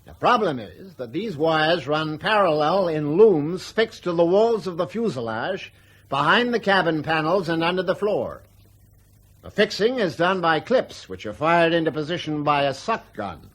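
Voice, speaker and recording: A man's voice: fairly high pitch, expressive, a bit bright. An actor playing a reassuring, defensive aircraft company spokesman in a radio drama, explaining formally and carefully. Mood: defensive, explanatory. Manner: measured, formal explaining with hedged emphasis.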